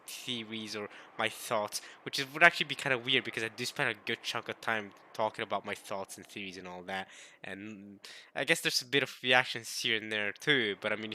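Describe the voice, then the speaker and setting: A man's voice: medium pitch, pushed and bright. A young man casually rambling to his viewers as a video creator between readings. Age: young adult. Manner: rambling, babbling.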